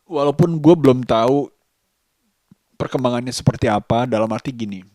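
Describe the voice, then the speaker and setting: A man talking in a casual daily vlog, reflective and halting, pausing mid-sentence. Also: mid-range voice, mellow, no room sound. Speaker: vlogger.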